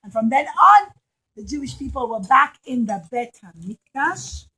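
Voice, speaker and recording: A woman telling a story to young children in an animated, emphatic way, repeating phrases for them. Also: high-pitched, measured pace, breathy edge, low-quality recording. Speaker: children's storyteller and puppet show presenter.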